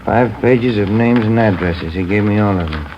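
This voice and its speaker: Plain-spoken detective partner, male actor, easygoing, lowish, vintage radio drama with faint noise. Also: moderate pace, natural voice. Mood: easygoing, reporting. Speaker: police detective, the lead's partner. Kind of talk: 1950s radio drama, conversational. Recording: dull, faintly noisy old radio recording.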